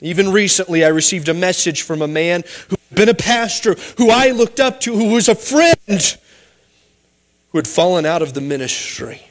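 A male pastor delivers a sermon in a noticeably high voice. He pleads earnestly with his listeners, then shifts into a story full of humorous asides. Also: lively intonation, normal speed.

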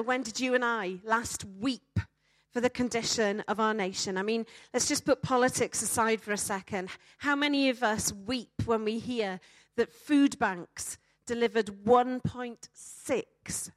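High-voiced Welsh woman preacher. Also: dry recording. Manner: emphatic, with rhetorical questions and dramatic pauses. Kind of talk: sermon to a congregation.

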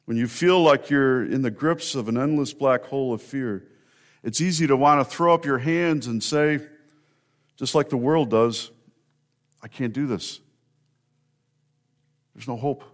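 A male pastor delivering a sermon to his congregation. His voice sits at an ordinary middle pitch, and he speaks earnestly, building up emphatic repetitions to drive a point home. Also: a little slow, pauses often.

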